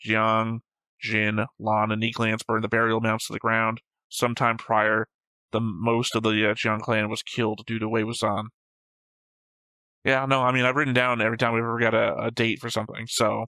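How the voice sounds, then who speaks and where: Bright-voiced man in matter-of-fact discussion. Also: fairly low pitch, completely flat delivery, moderate pace, smooth, no echo. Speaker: reaction show host. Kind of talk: casual discussion of an animated series.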